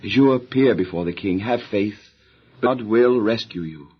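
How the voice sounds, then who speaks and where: An actor playing a solemn, earnest Hebrew patriarch and husband in a Bible audio drama, speaking in a grave, pious, measured way. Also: male voice, fairly low pitch, husky. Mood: remorseful, devout. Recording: dull lo-fi radio drama recording.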